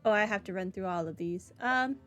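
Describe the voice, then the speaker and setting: A woman's voice: mid-range voice, fairly quick, a bit bright. A woman streamer giving casual, dry, offhand commentary over a game playthrough. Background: faint game music.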